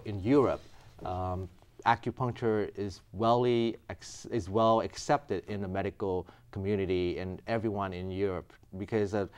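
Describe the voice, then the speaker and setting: A man from Vietnam with a fairly low voice. He speaks earnestly and patiently, explaining his medical work point by point. His English has grammar. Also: a little mellow. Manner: earnest, explaining step by step, non-native grammar. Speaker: physician guest. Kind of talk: explanatory interview answers.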